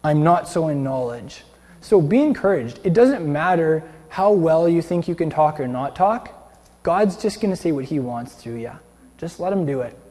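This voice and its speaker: Male preacher, high-pitched, soft mellow tone, conversational, earnest, long hall reverb. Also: lively intonation, normal speed. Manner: colloquial, earnest, checking in with listeners. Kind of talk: informal, conversational sermon.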